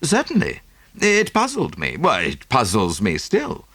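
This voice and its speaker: A man voicing an earnest, easily puzzled English gentleman with an English accent, hesitant and eager, in an audiobook. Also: medium pitch, wildly swooping pitch, unhurried, breathy edge, no room sound, full character voice. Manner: eager, hesitant, slightly exasperated.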